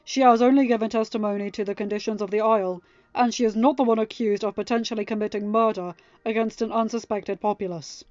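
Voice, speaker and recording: A woman's voice: medium pitch, fairly even intonation, fast, a little mellow, dry recording, highs cut off, natural voice. A woman voicing a stern young king in a storybook reading, firm, formal and hard-edged. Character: stern, commanding young king chairing a trial.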